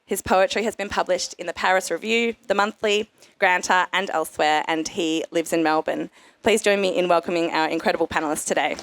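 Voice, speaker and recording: A woman moderating a festival panel, introducing guests and asking measured questions with frequent fillers. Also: on the low side, no echo. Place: festival stage.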